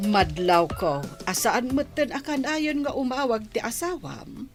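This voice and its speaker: An older mother in a radio drama, concerned and gently prying. Her voice is fairly high and very expressive, moving freely up and down. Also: a little slow, music in the background. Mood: wondering, concerned. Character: concerned, probing older mother of adult daughter. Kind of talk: Philippine radio drama acting.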